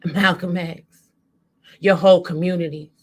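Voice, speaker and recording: Slow, emphatic woman delivering spoken word. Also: fairly low pitch, steady tone. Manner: dramatic, emphatic, deliberate phrasing. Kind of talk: impassioned spoken-word monologue.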